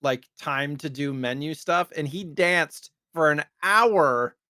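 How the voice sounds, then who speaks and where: A man's voice: a little high, slow, bright, forceful tone, no room sound. A man co-hosting a casual podcast, sharing a fun fact in a dry way. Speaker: podcast co-host. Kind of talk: casual chat.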